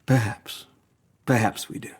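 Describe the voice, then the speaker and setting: An actor playing a measured, wary gentleman doctor in a period audio drama, with a gravelly, husky voice. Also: lightly acted. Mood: guarded.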